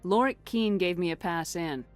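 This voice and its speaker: Woman playing a low-voiced, composed space commander. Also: moderate pace, faint music behind, close to an everyday voice. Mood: composed, firm. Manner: direct, matter-of-fact. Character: composed, assertive female space military commander. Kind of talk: scripted video game dialogue.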